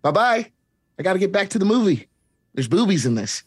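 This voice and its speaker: A man's voice: sits high, big pitch swings, fairly quick, darkish tone, breathy edge, no echo, clean studio sound, exaggerated voice. An actor playing an upbeat young male kids' TV host in a comedic table read, singsong and talking straight to the viewer. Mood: cheery.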